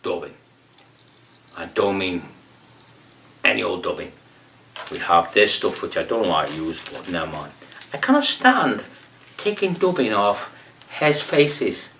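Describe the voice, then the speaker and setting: A man's voice: low-pitched, very animated, unhurried, gravelly, pauses often, a bit bright, distant mic, a little hiss or hum, full character voice. A man playing a rambling Brazilian amateur fly tier in a comic tutorial, with a put-on Brazilian accent, broken English and muttered whispered asides. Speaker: fly tying demonstrator.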